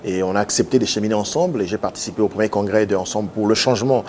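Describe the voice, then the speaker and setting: A man. He answers interview questions earnestly, halting often with filler sounds and restarts. A faint noise sits under his speech. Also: lowish voice, darkish tone. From Democratic Republic of the Congo. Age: 50s. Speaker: politician guest.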